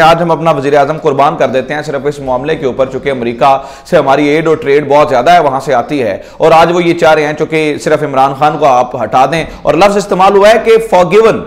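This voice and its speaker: A man in his thirties with a Pakistani accent, giving informal political news commentary to camera in an explanatory way, mixing in English words. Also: a little high. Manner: explanatory, with rhetorical questions and English words mixed in. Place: indoor room in front of wooden doors.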